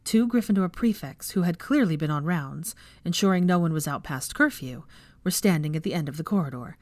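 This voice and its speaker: A woman reading fan fiction aloud as narrator, in expressive scripted storytelling. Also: low voice, fairly quick, no echo.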